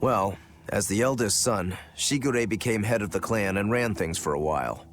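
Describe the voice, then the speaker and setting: A deep-voiced male swordsman turned demon from a video game, played by an actor. He is brooding and self-critical, speaking in a plain, matter-of-fact way, with faint music underneath. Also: moderate pace, lightly acted. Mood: somber, regretful. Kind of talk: dubbed video game dialogue.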